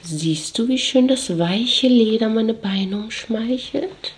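A woman playing a haughty mistress in an intimate audio drama, commanding and condescending, often slipping into breathy whispers. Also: a little high, takes it slowly, roomy sound, a little hiss or hum. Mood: commanding, sensual. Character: haughty dominatrix mistress commanding her servant. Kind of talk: scripted German audio drama monologue, intimate.

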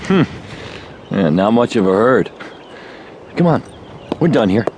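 An actor playing a confident, possessive young rancher in a Western audio drama. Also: male voice, fairly low pitch, big pitch swings, measured pace, background noise. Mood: dismissive.

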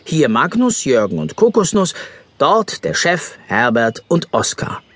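A man's voice: on the high side, huge pitch swings, measured pace, background noise. A man narrating a scripted children's story expressively.

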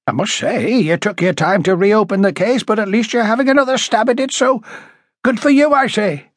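Man voicing a high, rasping, prickly older woman. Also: animated, normal speed, no room sound, studio quality. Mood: forthright. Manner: indignant, chatty, emphatic. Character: blunt, prickly older working-class woman, wary witness. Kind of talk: audiobook character dialogue.

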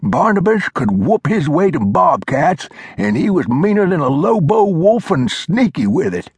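A man's voice: sing-song, unhurried, breathy edge, no room sound, exaggerated voice. A man doing a cackly old mountain man. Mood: boastful. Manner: high cackly old-timer drawl with frontier slang. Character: skinny old mountain man, cantankerous teasing codger.

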